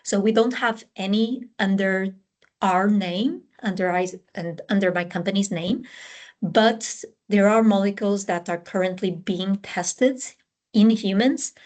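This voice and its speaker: A woman from Portugal with a Portuguese accent, a scientist guest explaining her work and career in a relaxed interview, with frequent fillers and restarts. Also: medium pitch, a little slow. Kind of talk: conversational interview answers.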